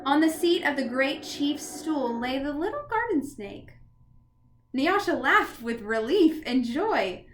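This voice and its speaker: A woman reading a storybook aloud in an expressive narrating style. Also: sits high, slow pace. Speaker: storybook reader. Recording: echoey room with loud background noise.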